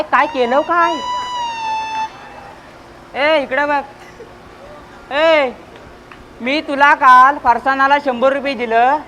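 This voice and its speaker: A performer playing a bossy, irritable village secretary in a comic stage play, scolding and barking repeatedly. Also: high voice. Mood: angry, threatening. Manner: scolding, exasperated, repetitive barking. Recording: noisy live stage recording.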